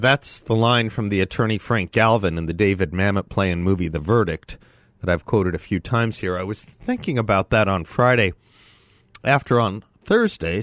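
A male talk radio host with a touch of nasal stuffiness from a head cold. He speaks in a deliberate, lecturing manner. His voice is close and dry, with no room sound at all. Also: lowish voice, no echo. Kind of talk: opinion monologue on talk radio. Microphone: studio microphone.

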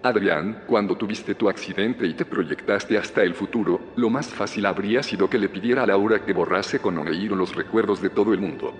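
An actor with a Spanish accent from Spain playing a calm, persuasive middle-aged founder in an audio drama. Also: male voice, on the low side, fairly quick, hardly any pauses, natural voice. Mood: measured, persuasive. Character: calm, persuasive male founder of a secretive community. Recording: long reverb with music underneath.

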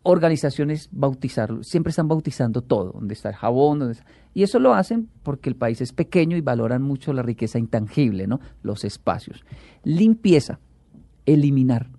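Man, gravelly, mellow tone, dry close sound, animated storyteller. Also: medium pitch, normal speed, no room sound. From Colombia. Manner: animated storyteller, acts out quotes. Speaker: guest. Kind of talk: casual chat.

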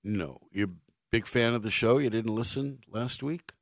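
A man's voice: medium pitch, moderate pace, no room sound. Dry, sarcastic middle-aged sports radio man. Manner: wry, sarcastic jokes, rambling anecdotes. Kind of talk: sports talk radio monologue. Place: radio studio. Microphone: studio microphone.

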